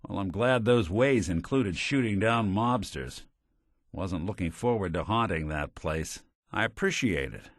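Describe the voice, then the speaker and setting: A hard-boiled robot private eye from a video game, speaks in a fairly low, very dry-recorded voice. His delivery is wry and world-weary, clipped like a noir gumshoe, yet his pitch moves around a lot. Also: lively intonation, a little slow, no echo, heavily acted. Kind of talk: scripted game character voice lines.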